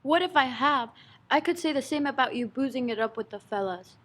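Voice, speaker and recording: A woman playing a long-suffering, sharp-tongued wife in an old-fashioned radio play parody, nagging melodramatically. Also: high-pitched, expressive, a little fast. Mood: scolding, indignant. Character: scolding, long-suffering wife of a boozing husband.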